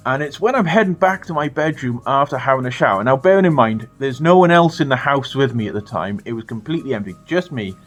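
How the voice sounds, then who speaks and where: A British man telling a funny story to his viewers in a casual, animated way, swearing freely. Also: medium pitch, fast, few pauses, soft background music. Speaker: storytelling vlogger.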